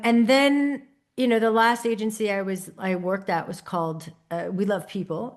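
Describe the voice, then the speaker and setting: A woman in her thirties from New York City with a New York accent, telling her story as an interview guest in an expressive, conversational way. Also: medium pitch. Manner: expressive storyteller, lively rises and laughs. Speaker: podcast guest, creative studio founder. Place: lives in Copenhagen.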